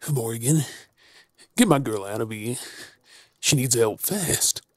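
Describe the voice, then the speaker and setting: A man's voice: medium pitch, slightly rough, no room sound, studio quality, exaggerated voice. A man playing a battered, protective werewolf boyfriend in an audio drama, earnest and strained in his delivery. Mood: strained, urgent. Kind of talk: audio roleplay drama acting.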